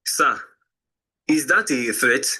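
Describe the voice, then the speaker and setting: A man's voice: medium pitch, expressive, slow, bright, forceful tone, breathy edge, exaggerated voice. A man performing an honest Nigerian chief accountant in an audio drama. He is polite and deferential to his superior, yet firm and principled. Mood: firm, apologetic.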